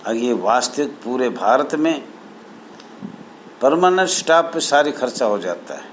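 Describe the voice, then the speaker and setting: A man speaking as an association spokesman, reading out a formal numbered appeal in a halting, deliberate, earnest way. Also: medium pitch, expressive, low-quality recording. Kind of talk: formal appeal, reading numbered points aloud with added commentary. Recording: noticeable background noise.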